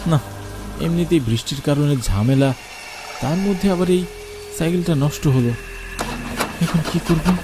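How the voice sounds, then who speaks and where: A man's voice: fairly high pitch, unhurried, pauses often, background noise, pitched above the performer's own voice, close to an everyday voice. A man voicing a bossy, worried middle-aged hotel owner in a cartoon ghost story, with music behind. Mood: frustrated.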